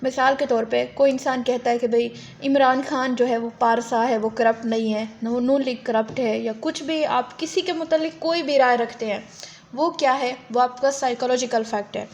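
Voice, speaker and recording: A woman's voice: a little high, steady tone, moderate pace, a little hiss or hum. A woman with a Pakistani accent giving an explanatory lecture, didactic, often posing questions and answering them herself. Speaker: law lecturer.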